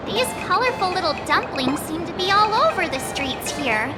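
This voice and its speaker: Bubbly girl adventurer, high voice bouncing all over, loud game music behind her. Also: big pitch swings, normal speed. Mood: curious. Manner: bubbly, wondering, playful. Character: cheerful curious young girl adventurer. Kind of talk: video game voice acting.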